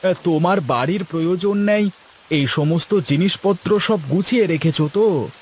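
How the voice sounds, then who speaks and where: Man voicing a high-pitched, helpful village husband. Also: moderate pace, darkish tone, slight background noise, natural voice. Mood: busy, concerned. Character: kindly, practical village husband, helpful to his neighbours. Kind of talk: Bengali moral-story cartoon dialogue.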